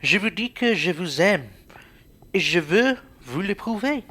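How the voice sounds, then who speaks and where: A woman playing an amorous, pushy gentleman in an amateur radio play, wheedling and insistent. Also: lowish voice, animated, unhurried.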